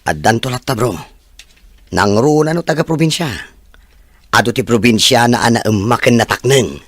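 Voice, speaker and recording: A man's voice: mid-range voice, moderate pace, a bit bright, dry recording, natural voice. A man playing a frank young friend in an audio drama, giving advice casually. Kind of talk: Ilocano audio drama acting.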